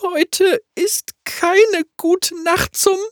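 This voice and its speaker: A man voicing a frightened young actress who speaks in a high, stammering, theatrical way, with a husky tone. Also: unhurried, no echo, studio quality. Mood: panicked theatrical. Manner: high, stammering, grand stage delivery.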